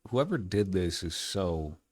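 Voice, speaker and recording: A man's voice: low-pitched, expressive, a little slow, a little mellow, no echo. A man hosting a casual reaction, commenting analytically and explaining what he notices.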